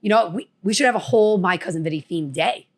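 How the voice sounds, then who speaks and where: A woman's voice: fairly low pitch, fast talker. A woman from New Jersey with a New Jersey accent, co-hosting a podcast and telling a story in casual chat, acting out the voices she quotes. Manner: chatty, acts out quotes. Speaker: podcast co-host.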